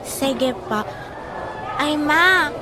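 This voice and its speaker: Very high-voiced, timid little girl. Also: expressive, extremely slow, crowd noise, heavily acted. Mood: hesitant, meek. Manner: hesitant, deferential. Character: timid, obedient eight-year-old daughter. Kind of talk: Filipino audio drama acting.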